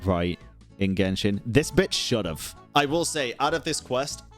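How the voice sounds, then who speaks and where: A man's voice: medium pitch, expressive, unhurried. A man doing casual, opinionated live stream commentary in a blunt, slangy way, swearing often as he ranks things. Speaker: streamer ranking game quests. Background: video game music.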